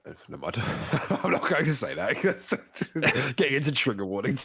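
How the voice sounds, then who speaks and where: A man's voice: medium pitch, sing-song, moderate pace, gravelly, pushed and bright, slightly rough. A young man co-hosting a podcast in casual chat, his throat sore and cracking, nose stuffy, laughing often as he rambles. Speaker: podcast co-host.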